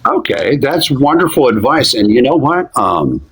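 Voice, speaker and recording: A man's voice: medium pitch, very animated, moderate pace, a bit bright, slight background noise. A man in his fifties from Philadelphia, chatting candidly and directly in a casual live stream. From Philadelphia, USA. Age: 50s.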